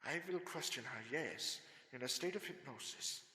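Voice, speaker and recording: A man's voice: medium pitch, animated, normal speed, sharp tone. A grave, commanding doctor who hunts vampires, speaks with hard-edged intensity. His voice rings in a long reverb, in classic radio horror style. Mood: probing. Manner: commanding, intense, dramatic questioning. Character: Doctor Van Helsing, grave, urgent male vampire hunter. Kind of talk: old-time radio horror acting. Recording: echoey hall with long reverb.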